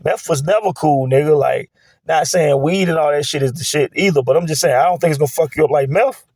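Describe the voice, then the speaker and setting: Blunt, preachy man, fairly high voice. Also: quick pace, a little mellow, no echo. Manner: blunt, heated, preachy street talk. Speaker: vlogger. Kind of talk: casual monologue to camera.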